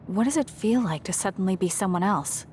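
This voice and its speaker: A woman voicing a level-headed young woman in a video game, speaking calmly and directly. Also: mid-range voice, brisk, a little hiss or hum, lightly acted. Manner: calm and direct. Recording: music under the dialogue.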